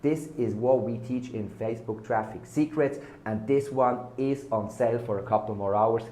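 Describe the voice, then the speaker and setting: A man's voice: mid-range voice, normal speed, mellow, a little hiss or hum. A man with a German accent, a German native speaker, giving a hyped, salesy marketing vlog full of repeated buzzwords. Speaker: online marketing vlogger. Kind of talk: casual direct-to-camera sales talk. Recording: echoey room.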